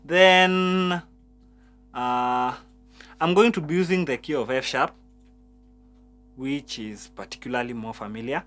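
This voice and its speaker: Guy explaining keyboard voices, restarts a lot, soft keyboard music under him. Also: medium pitch. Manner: halting, repeats words, explains step by step. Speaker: piano instructor. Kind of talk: keyboard tutorial.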